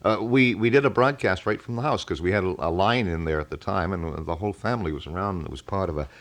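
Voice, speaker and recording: Low-voiced man hosting local radio. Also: fast talker, fairly bright tone. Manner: chatty, folksy, informal. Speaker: radio call-in show host. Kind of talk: casual local radio talk with listeners. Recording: 1980 local AM radio broadcast.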